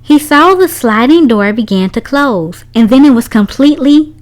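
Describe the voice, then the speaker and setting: Animated, higher-pitched woman reading a storybook. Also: normal speed. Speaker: children's storybook reader. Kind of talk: read-aloud story narration.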